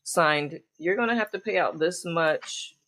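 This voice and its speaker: A woman's voice: lowish voice, a bit bright. A woman hosting a casual conversation in an informal, easygoing way. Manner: informal, quick to laugh. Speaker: host. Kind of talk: casual chat.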